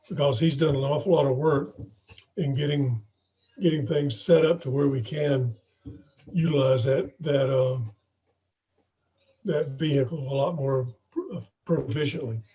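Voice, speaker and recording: A hoarse man with a slightly high, mellow voice reports to club members over a video call. He speaks informally and haltingly, pausing to clear his throat. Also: poor audio. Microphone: video call microphone.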